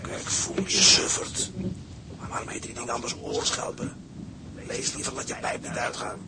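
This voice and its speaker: A man's voice: mid-range voice, expressive, moderate pace, vocal fry, heavy background noise. An actor playing a bossy, musing seaman in a radio drama, switching between curt orders and poetic murmurs. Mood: hushed, wondering.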